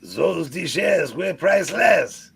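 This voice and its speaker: A man's voice: on the high side, expressive, takes it slowly, vocal fry, a bit bright, heavily acted. A man voicing a pompous, scheming French master thief with a mock French accent, in hammy comic-book villain style. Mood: outraged. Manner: melodramatic, grandiose, swinging from menace to exclamation.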